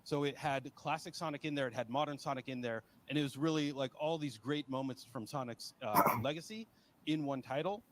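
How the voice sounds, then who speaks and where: A man interpreting at a panel, explaining points in a measured conversational way. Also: medium pitch, background noise.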